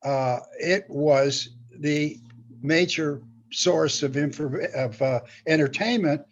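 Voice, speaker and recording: A man in his eighties reminiscing casually as an interview guest, in a halting, rambling way with repeated words. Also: on the high side, lo-fi sound, a little hiss or hum. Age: 80s. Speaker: guest, veteran script writer.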